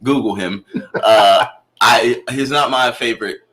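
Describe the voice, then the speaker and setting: A man hosting a casual football watchalong stream, excitable and quick to laugh. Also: medium pitch, poor audio. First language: not Spanish. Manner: excitable, laughs a lot, talks in quick bursts. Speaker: host.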